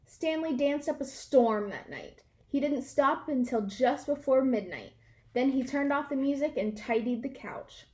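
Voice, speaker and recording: Female children's read-aloud narrator: high pitch, expressive storytelling, deliberate emphasis.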